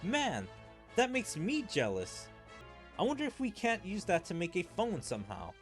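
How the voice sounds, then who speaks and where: A man's voice: high-pitched, huge pitch swings, moderate pace, soft background music. A man streaming a game, reading its dialogue aloud in a theatrical way and adding his own commentary. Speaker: gaming streamer.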